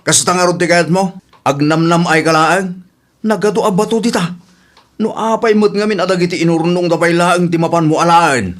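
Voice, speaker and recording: A man's voice: high-pitched, fairly even intonation, normal speed, fairly bright tone. A man playing an exasperated village husband, scolding and complaining wearily in a comedic radio drama.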